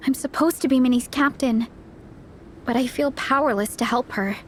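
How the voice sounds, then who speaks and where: A woman's voice: sits high, unhurried, a little hiss or hum. An actress playing an earnest, caring young athlete who captains her team in a video game. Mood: worried, helpless. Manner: earnest, troubled, plain-spoken.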